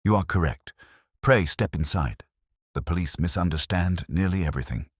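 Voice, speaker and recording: A man's voice: sits low, moderate pace, slightly rough, no room sound, clean studio sound, voice pushed up. A man voicing an incisive, cool-headed detective in an audiobook story, speaking in precise, theatrical pronouncements. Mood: measured, assured. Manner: precise, declarative, aphoristic.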